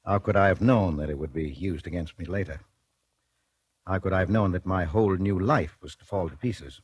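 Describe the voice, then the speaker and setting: An actor playing a meek, lovelorn man who speaks haltingly and pleadingly in a 1940s radio drama. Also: low voice, breathy edge. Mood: wistful narrating. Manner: hesitant, stammering, pleading. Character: meek, lovelorn man resigned to a murder charge. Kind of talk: 1940s radio drama acting, first-person narration. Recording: 1940s radio broadcast, faint music behind.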